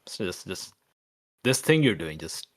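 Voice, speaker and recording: A man with a deep voice talks casually as a player in a tabletop game. He describes his character's actions in an everyday way. The sound is close and dry. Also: big pitch swings, a little slow, no echo. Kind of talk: casual chat and roleplay description.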